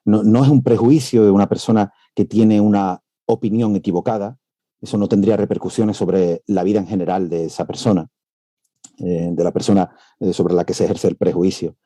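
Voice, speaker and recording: A male professor giving an academic lecture over a video call. He speaks in a measured way, with frequent "eh" hesitations. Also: lowish voice, steady tone, dark tone, dry recording. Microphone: computer mic on a video call.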